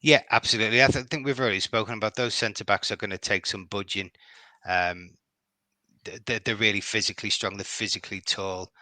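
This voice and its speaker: A man's voice: on the low side, steady tone, a little fast, bright, forceful tone, dry recording, rough recording. A middle-aged man from Liverpool with a Liverpool accent, chatting as a podcast guest, hesitant and often repeating words. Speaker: guest fan. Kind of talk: casual podcast chat.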